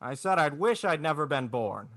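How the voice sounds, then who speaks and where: A man's voice: fairly high pitch, sing-song, moderate pace, a bit bright, dry recording, clean studio sound. A man playing a despairing, wry, bewildered family man with a stammering, folksy manner, in 1940s radio drama style. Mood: bitter, despairing. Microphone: video call.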